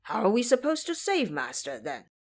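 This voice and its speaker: A woman voicing an anxious, foolish male pig-monk sidekick in an audio drama, loud and fretful. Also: medium pitch, huge pitch swings, normal speed. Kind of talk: audio drama character dialogue.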